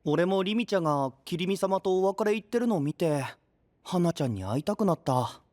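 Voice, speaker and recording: Upbeat young anime knight, casual and friendly, very animated delivery. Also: male voice, high voice, fairly quick, dry recording, clean studio sound. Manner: breezy, casual, friendly slangy talk. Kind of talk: anime-style audio drama acting.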